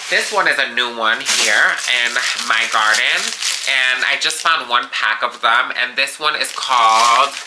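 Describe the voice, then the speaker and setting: Slow-talking, stuffy-nosed gardening vlogger. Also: medium pitch, background noise. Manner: enthusiastic, gushing about flowers. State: stuffy nose from allergies. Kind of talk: casual show-and-tell haul.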